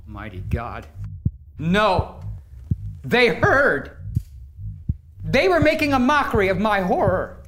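An actor playing a nervous, boastful murderer confessing in a theatrical gothic horror reading, with halting, emphatic phrasing. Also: male voice, high voice, sing-song, music in the background, exaggerated voice. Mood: frantic. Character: guilt-maddened male murderer confessing, nervous, boastful, frantic. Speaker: actor giving a dramatic reading.

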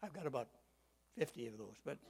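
A man in his eighties preaching a sermon, stressing key words slowly, dropping to quiet asides and telling folksy personal stories. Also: mid-range voice, lively intonation, creaky, slightly rough. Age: 80s.

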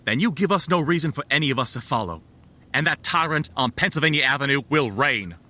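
An actor playing a defiant male conspirator in a historical drama, speaking in a forceful, theatrical way. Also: mid-range voice, animated, a little fast. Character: defiant conspirator rallying his fellow plotters. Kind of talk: period historical drama acting.

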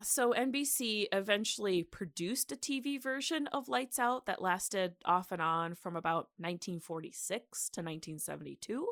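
A woman's voice: mid-range voice, moderate pace, few pauses, fairly bright tone, dry recording, studio quality. A woman co-hosting a podcast in a casual, conversational style. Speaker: podcast co-host. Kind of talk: casual podcast chat with some scripted history.

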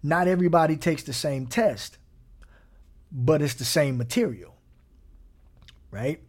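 A man's voice: a little high, a little slow, darkish tone. Man preaching a conversational sermon. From Gary, Indiana. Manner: conversational preaching with frequent "right?" checks.

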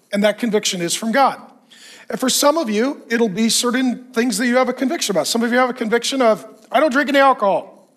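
A man preaching to a congregation in a strikingly high voice, joking at his own expense between points. His voice is going, and he keeps clearing his throat. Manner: folksy and self-mocking, with quick asides. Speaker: pastor. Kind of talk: sermon. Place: church in front of a congregation.

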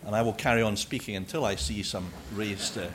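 A man's voice: lowish voice, steady tone, brisk. A man chairing a conference Q&A, wordy and dryly jokey, inviting questions from the floor. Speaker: chair of forum session. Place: conference hall.

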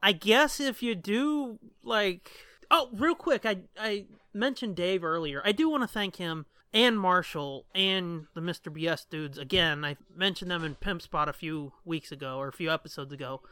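A man's voice: sits high, normal speed, hardly any pauses, bright, forceful tone, clean tone, no echo. A man hosting a casual podcast, chatting in slangy language with plenty of swearing. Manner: profane, slangy. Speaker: podcast host.

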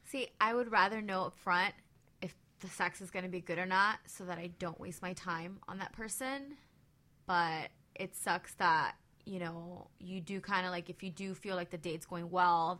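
A woman with a bright, sharp voice pitched slightly low chats on a radio panel. Her talk is casual and rambles from one loose phrase to the next. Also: moderate pace. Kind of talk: casual chat.

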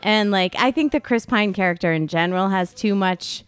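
A woman's voice: mid-range voice, normal speed, smooth, faint music behind. An American woman from Connecticut co-hosting a podcast, chatting enthusiastically in casual banter. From Connecticut, USA. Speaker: podcast co-host.

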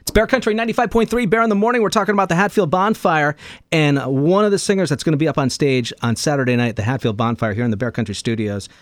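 A man hosting a radio morning show, interviewing in an upbeat, animated announcer style from a studio. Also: a little high, quick pace, dry recording. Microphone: close studio microphone.

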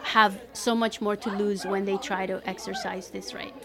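A woman's voice: mid-range voice, level tone, normal speed, crowd noise. A woman from El Salvador who moved to Canada as a child, talking conversationally in an interview as a community coordinator. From El Salvador, moved to Canada at eleven.

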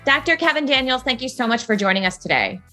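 A woman's voice: sits high, animated, quick pace, bright, forceful tone, faint music behind. A woman hosting a TV interview, delivering a polished scripted intro and asking friendly questions. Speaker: TV host.

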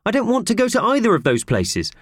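Harassed, fretful storybook everyman, acted by a male reader, pitch leaping about wildly. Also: huge pitch swings, fast, dry recording, studio quality, exaggerated voice. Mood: frantic. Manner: fretful, spluttering. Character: flustered, exasperated man, easily panicked.

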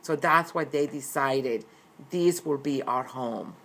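A woman's voice: very deep voice, takes it slowly, a bit bright. A middle-aged woman from Colombia, speaking earnestly and often repeating herself as an interviewed author. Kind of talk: recorded interview.

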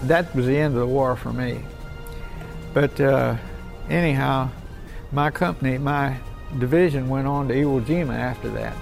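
Man acting gravelly veteran recalling the war. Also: medium pitch, moderate pace, faint music behind, close to an everyday voice. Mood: matter-of-fact. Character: American WW2 veteran plainly recalling his war memories. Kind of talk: conversational reminiscence in a radio drama.

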